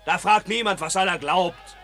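A man's voice: high voice, moderate pace, pushed and bright, a faint crowd. A man in a 1950s radio play acting a carefree, swaggering rifleman who declaims boastful, mocking rhymed verse. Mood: boastful, defiant.

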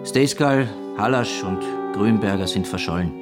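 A man's voice: fairly low pitch, moderate pace, lightly acted. An actor playing a matter-of-fact former war ministry man in a radio play, reporting in clipped, factual phrases. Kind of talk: radio drama acting. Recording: loud string music under the voice.

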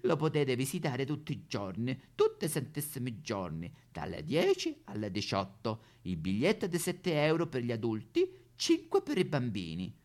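A man's voice: mid-range voice, huge pitch swings, moderate pace, few pauses, a little mellow. Man playing histrionic lonely Roman woman, wildly swooping. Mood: dramatic storytelling. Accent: Roman Italian. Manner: histrionic, repetitive, swinging between whispers and wailing. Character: lonely, melodramatic woman recounting absurd pet disasters. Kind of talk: theatrical comic monologue.

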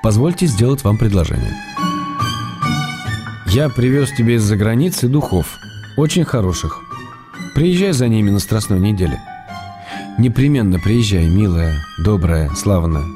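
A man's voice: lowish voice, animated, normal speed, pauses often, music in the background, lightly acted. A man acting in a radio drama as a wry, tender, weary writer in love, reading his letters with intimate understatement. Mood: weary, joking.

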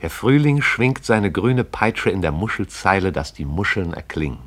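A man's voice: low voice, animated, moderate pace, a bit bright. A man narrating a radio play in a poetic, rhythmic recitation.

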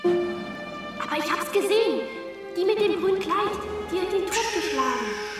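Casting: stubborn child witness, extremely high voice, far off in reverberant space, radio play. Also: female voice, lively intonation, measured pace. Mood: insistent. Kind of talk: radio drama acting. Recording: echoey, distant, loud music behind.

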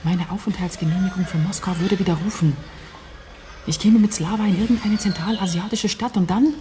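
An actress playing an anguished, soft-spoken Russian mother in an intimate radio drama. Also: lowish voice, brisk, a little hiss or hum, close to an everyday voice. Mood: anguished pleading.

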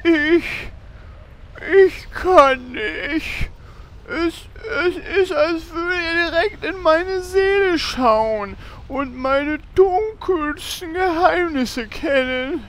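A woman playing a cowardly, tearful warrior in a parody audio play, timid and halting like an overgrown child. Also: very high voice, heavily acted. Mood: tearful, scared.

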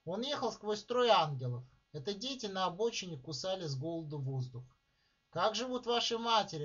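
A man reading his own short story aloud in a measured, deliberate way, with dramatic pauses, at a live reading. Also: a little high, fairly bright tone. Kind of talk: literary reading of prose. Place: live reading at a writers' club. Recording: dull lo-fi recording.

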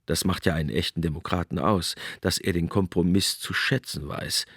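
A man reading scripted narration for a radio documentary in a measured, essayistic storytelling manner. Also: sits low, fairly even intonation, a bit bright, husky, no echo, clean studio sound.

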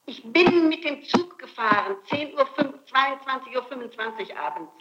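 A woman's voice: sits high, lively intonation, moderate pace, roomy sound, lo-fi sound, heavy background noise. A woman being questioned as a suspect, answering with insistent, pleading and emphatic denials on an archival interrogation tape. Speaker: suspect under police interrogation.

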